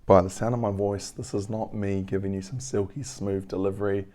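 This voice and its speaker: Hoarse man in his 30s, crackly and low, mellow tone, earnest and reflective vlogger. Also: flat delivery, a little fast. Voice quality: crackly voice, frequent throat clearing. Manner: earnest, reflective, rambling with fillers. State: hoarse, lost voice. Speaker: business owner vlogger. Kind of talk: casual weekly vlog update. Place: at home.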